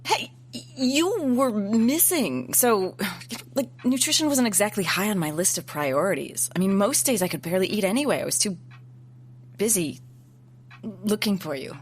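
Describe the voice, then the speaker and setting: A woman's voice: mid-range voice, animated, moderate pace, a little hiss or hum, natural voice. An actress playing a wry, playful young woman who talks informally and sarcastically in an audio drama. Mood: sheepish, defensive. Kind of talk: naturalistic audio drama dialogue.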